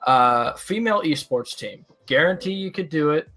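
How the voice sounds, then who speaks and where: A man's voice: on the high side, lively intonation, normal speed, a bit bright. A man ranting bluntly in casual stream chat, swearing freely as he makes his points.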